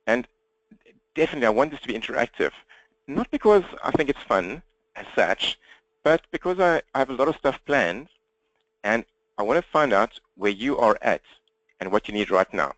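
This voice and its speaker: South african guy running a webinar, talks fast and straight, bit croaky, dry mic. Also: medium pitch, dry recording, dull audio. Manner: energetic, talks fast, direct and upbeat. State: slightly croaky throat. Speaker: webinar presenter. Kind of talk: interactive webinar training. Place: indoors at his computer, standing.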